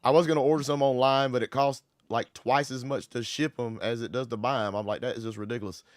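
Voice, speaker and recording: A man hosting a casual livestream chat, voice hoarse from a cold, talking in a relaxed, rambling way. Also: medium pitch, fast talker, no room sound, clean studio sound. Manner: relaxed, rambling, Southern-inflected "y'all". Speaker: livestream host.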